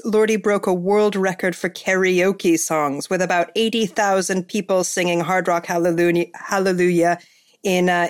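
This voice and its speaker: A woman hosting a podcast, chatting casually and enthusiastically, with lots of fillers and restarts. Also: medium pitch. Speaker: podcast host. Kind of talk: casual chat.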